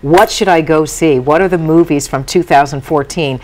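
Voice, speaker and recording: Low-voiced woman hosting TV news. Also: normal speed, a little mellow, slight background noise. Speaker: TV news host. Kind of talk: live studio news interview. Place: TV studio.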